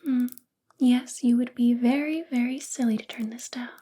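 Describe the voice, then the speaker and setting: A woman playing a possessive, controlling female boss in a soft-spoken, whispery ASMR roleplay, coaxing and intimate. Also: on the high side, steady tone, moderate pace. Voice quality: breathy, whispery.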